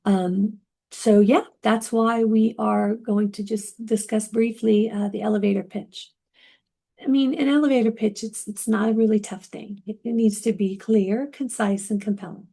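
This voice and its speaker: A woman teaching a recorded class. She has a mellow, relaxed tone with a gravelly edge, and she talks conversationally, filling gaps with ums and restarting phrases. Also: mid-range voice, normal speed. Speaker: instructor.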